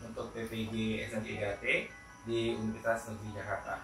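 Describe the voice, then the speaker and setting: Lower-pitched man with a bright, forceful tone. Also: flat delivery, quick pace. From Palembang, South Sumatra, Indonesia. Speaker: interview guest, schoolteacher. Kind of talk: casual conversational storytelling. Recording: roomy echo, distant mic, faint music.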